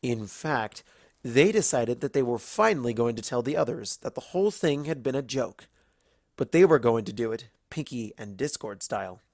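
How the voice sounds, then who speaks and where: An expressive storybook narrator with a mid-pitched voice. The reading is animated, with plenty of rise and fall, and the sound is close and dry. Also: normal speed, no room sound.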